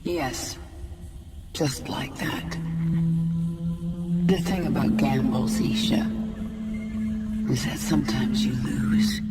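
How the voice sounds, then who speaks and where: A ruthless alien swarm queen from a video game speaks with cool command. Her pace is slow and deliberate, with music clearly behind her. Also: low-pitched. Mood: cool, wry.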